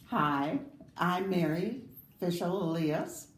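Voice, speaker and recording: Older grandma from Wisconsin, slow, low-ish voice, roomy echo. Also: female voice, lively intonation. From Wisconsin, USA. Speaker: grandmother being interviewed. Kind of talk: casual family interview.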